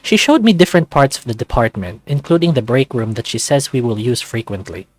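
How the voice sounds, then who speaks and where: Animated man narrating a visual novel. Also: medium pitch, a little fast, dry recording, faint music behind. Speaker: let's play host. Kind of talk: reading a visual novel aloud.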